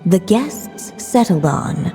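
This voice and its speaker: A storybook witch narrator from a fantasy game, tender and resolute. She speaks slowly in a mellow, slightly low voice, wrapped in long reverb with music behind. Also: big pitch swings, exaggerated voice. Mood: lyrical, wistful. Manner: measured, lyrical.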